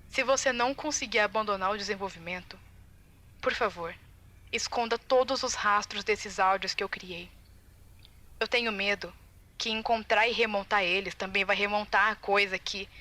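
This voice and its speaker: A woman's voice: medium pitch, bright, forceful tone, lightly acted. A woman with a Brazilian accent playing an anxious, determined game tester who leaves secret warning tapes in a confiding, urgent way, in a video game fan dub. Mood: worried, pleading. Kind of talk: recorded audio-log monologue, video game fan dub.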